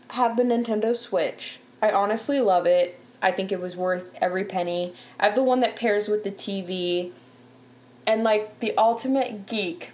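A woman's voice: medium pitch, a little mellow. Chatty young woman who laughs often. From Canada. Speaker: podcast host. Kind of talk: casual chat.

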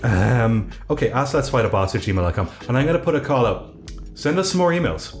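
A man with a deep voice co-hosting a casual podcast, reading listener emails with dry, deadpan jokes. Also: a little fast, faint music behind. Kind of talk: casual chat.

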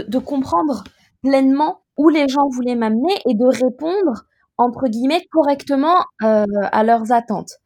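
High-voiced woman, reflective and measured. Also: darkish tone. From Côte d'Ivoire. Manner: reflective, measured, with frequent hesitations. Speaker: interview guest. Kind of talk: personal testimony in an interview.

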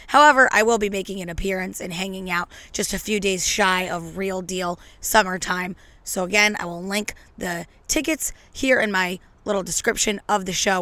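Slightly hoarse, brash woman podcaster. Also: mid-range voice, sharp tone, no echo. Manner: brash, joking, tongue-in-cheek rambling. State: a little hoarse. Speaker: podcast host. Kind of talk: casual solo podcast monologue. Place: at home on a couch. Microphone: podcast microphone.

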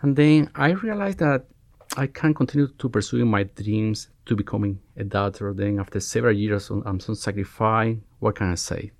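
A man in his forties with a Cuban Spanish accent, a resident doctor being interviewed on a podcast, who often pauses and restarts as he searches for words. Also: lowish voice, no room sound. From Cuba. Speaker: guest, family medicine resident.

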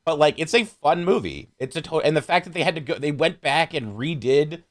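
A man's voice: a little high, expressive, a little fast. Man chatting casually, opinionated and sweary. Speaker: podcast guest. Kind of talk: casual opinionated film chat.

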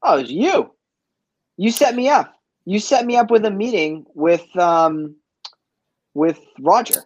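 A man's voice: high voice, animated, unhurried, no echo, lo-fi sound. A man from Boston in a casual interview, telling stories as a guest in informal, slangy speech peppered with "like". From East Boston, USA. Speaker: interview guest, chef and business owner. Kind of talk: casual conversational storytelling.

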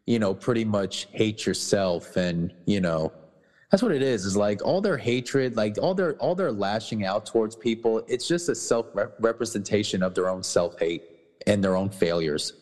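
A man co-hosting a casual podcast, talking bluntly with many restarts and swearing, his voice going hoarse. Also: lowish voice, animated, moderate pace, darkish tone, in a large hall. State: losing his voice. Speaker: podcast co-host.

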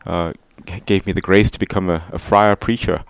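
A man speaks as a guest friar on a talk show. His voice sits low, and he answers in a measured, polite manner with a touch of humor. The recording is dry and close. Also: fairly even intonation, clean tone, no room sound. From Singapore. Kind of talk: interview answers.

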